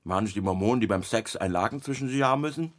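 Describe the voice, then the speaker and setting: An actor playing a skeptical, contrary middle-aged husband in a radio play, questioning and dryly grumbling. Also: male voice, lowish voice, rapid-fire, natural voice.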